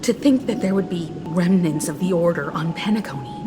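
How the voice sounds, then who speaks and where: A stern, composed heroine from a video game , played by a woman, speaking formally in a lowish voice. Loud music plays behind the accusing delivery. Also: expressive, a little fast, natural voice. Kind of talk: video game drama acting.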